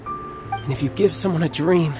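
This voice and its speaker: A man's voice: on the high side, normal speed, close to an everyday voice. A man voicing a proud, suave young warrior and devoted big brother in a video game, with theatrical, formal phrasing. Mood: resolute. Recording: game audio with background music. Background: game music.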